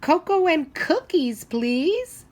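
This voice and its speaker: A woman's voice: sits high, very animated, slow pace, voice pushed up, exaggerated voice. A woman voicing a cheerful, playful little boy in a children's picture book, with bouncy, sing-song exclamations. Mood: polite, eager.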